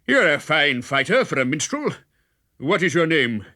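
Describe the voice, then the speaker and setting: A huge, blustering, roaring friar. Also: male voice, fairly high pitch, big pitch swings, normal speed, bright, forceful tone, no echo, studio quality, voice pushed up, full character voice. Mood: admiring, puffed. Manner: roaring, pompous, puffing between phrases. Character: huge blustering friar, boisterous, abusive yet good-humoured. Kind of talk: children's storybook character reading.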